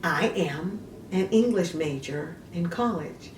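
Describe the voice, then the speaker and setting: Female literary host, theatrical and emphatic, unhurried delivery, recorded roomy and a little far from the mic. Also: fairly low pitch, animated. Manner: emphatic, deliberate, broken into short measured phrases. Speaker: host of a literary video show. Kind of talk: dramatic, theatrical vlog monologue, partly reading aloud. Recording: roomy echo, distant mic, faint noise.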